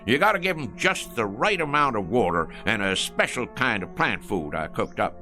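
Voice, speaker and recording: An actor playing a boastful, folksy old American gas station owner in a radio drama, rambling and fumbling for words. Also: male voice, fairly low pitch, animated, normal speed, pushed and bright, faint music behind. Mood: folksy bragging.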